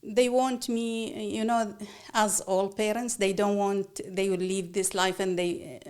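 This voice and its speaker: A woman from Iraq speaks in a mid-range voice. She tells her own story as an interview guest, soft-spoken and halting, often pausing on "um" and "uh" between phrases. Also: mid-range voice, little pitch movement, clear, resonant tone. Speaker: podcast guest.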